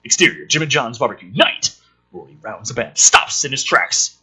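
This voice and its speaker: A man's voice: mid-range voice, moderate pace, slightly rough. A man reading a film script dramatically as a video host, breaking off for casual, sweary, sardonic commentary. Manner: theatrical, animated delivery.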